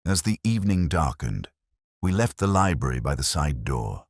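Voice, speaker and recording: A man narrating a first-person detective story as a brooding police inspector, in a measured, literary, reflective manner. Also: very deep voice, big pitch swings, dry recording, studio quality.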